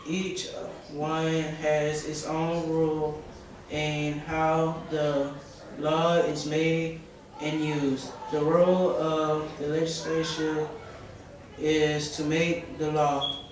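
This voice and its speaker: Slow, halting seventh-grade boy in echoey room. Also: a little high, completely flat delivery. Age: 12-13 years old, seventh grader. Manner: halting, stumbles over words and corrects himself. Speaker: student contestant giving a speech. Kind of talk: read-aloud school speech. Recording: echoey room from far off, noisy background.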